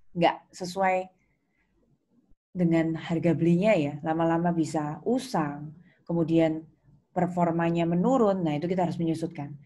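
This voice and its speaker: A woman's voice: lowish voice, warm tone. A woman with an Indonesian accent lecturing informally to students, explaining conversationally and checking understanding with questions. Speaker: accounting lecturer.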